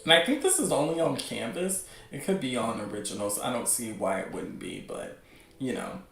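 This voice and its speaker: A man hosting a show with casual, unscripted commentary, rambling as he thinks out loud. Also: medium pitch, expressive, moderate pace, gravelly, faint music behind. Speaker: host of a webcomic news show. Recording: roomy, echoing sound.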